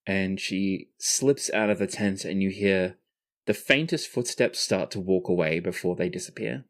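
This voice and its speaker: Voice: low voice, normal speed. Game master telling a fantasy scene. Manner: casual, swears freely. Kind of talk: narrating a tabletop roleplaying game.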